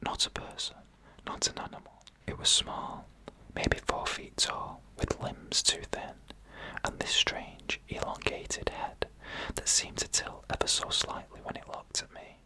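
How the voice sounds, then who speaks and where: A narrator reading scary stories in a hushed ASMR whisper, with slow, deliberate phrasing and pauses. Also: poor audio. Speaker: narrator and host of a scary story show.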